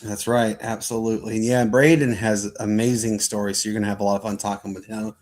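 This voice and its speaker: A man's voice: on the low side, little pitch movement, fast talker, a little mellow. Enthusiastic male sports show host. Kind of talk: casual livestream sign-off.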